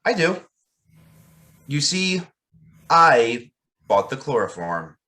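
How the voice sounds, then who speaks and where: A man's voice: medium pitch, very animated, takes it slowly, pushed and bright, exaggerated voice. A man playing a frightened, earnest young parson in a radio drama. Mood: resolute, nervous. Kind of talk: old-time radio drama acting.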